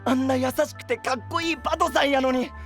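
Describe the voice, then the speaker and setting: An actor playing an earnest, sweet-hearted boyish knight with a western Japanese dialect, eager and pleading, in an anime voice drama. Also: male voice, extremely high pitch, steady tone, fairly quick, creaky, a bit bright, faint music behind, exaggerated voice. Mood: puzzled, concerned. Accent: western Japanese regional dialect.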